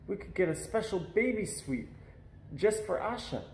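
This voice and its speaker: Man voicing an eager high-pitched little boy. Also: expressive, moderate pace, a little hiss or hum. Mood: hopeful, curious. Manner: eager, earnest. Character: eager seven-year-old boy awaiting a baby sister. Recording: echoey room.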